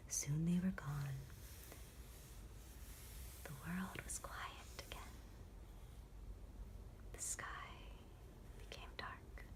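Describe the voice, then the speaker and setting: A woman reading a bedtime story in a soothing, unhurried ASMR whisper. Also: on the low side. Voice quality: soft whisper.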